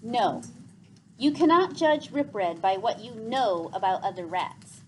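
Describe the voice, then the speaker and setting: Woman voicing a grave, slow-spoken storybook grandfather. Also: fairly high pitch, expressive, a little mellow, a little hiss or hum, low-quality recording. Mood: earnest. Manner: formal, measured, solemn. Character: grave, gentle old man, wise grandfather. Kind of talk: storybook read aloud.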